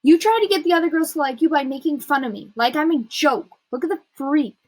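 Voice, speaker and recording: A woman's voice: a little high, animated, normal speed, breathy edge, no room sound. A woman voicing a guarded, brainy misfit schoolgirl in an audiobook, blunt, stubborn and hurt. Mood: angry, hurt.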